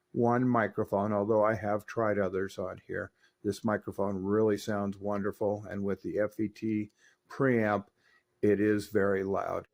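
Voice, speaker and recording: A man's voice: on the low side, darkish tone. A man presenting a hands-on product demo with an enthusiastic manner. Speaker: host demonstrating radio gear.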